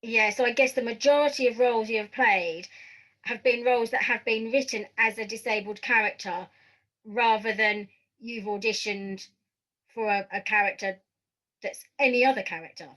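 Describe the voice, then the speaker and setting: A woman hosting a conversational podcast interview, putting her questions in a softened, tentative way. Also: a little high, fairly even intonation, moderate pace, poor audio.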